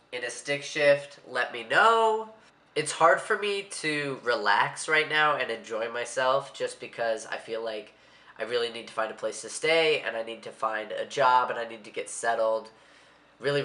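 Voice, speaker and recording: A man's voice: mid-range voice, bright, forceful tone, reverberant. A young man from Maryland vlogging casually with a stuffy, congested voice, talking in a rambling, slightly anxious way. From Maryland, USA. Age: young adult. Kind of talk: casual vlog monologue.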